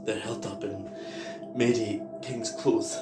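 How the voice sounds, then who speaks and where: A man acts out a wounded, grieving survivor of a zombie apocalypse in an audio drama. He speaks slowly and haltingly, breathy and apologetic, in an echoey space with music behind him. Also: on the low side, steady tone. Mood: grim, halting. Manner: halting, breathy, pleading delivery.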